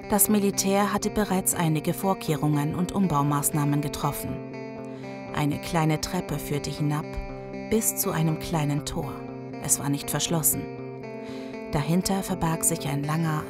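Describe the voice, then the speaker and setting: An actress playing a survivor who narrates a grim zombie audio drama. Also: female voice, low-pitched. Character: survivor recounting a grim zombie apocalypse expedition. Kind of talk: scripted first-person audio drama narration.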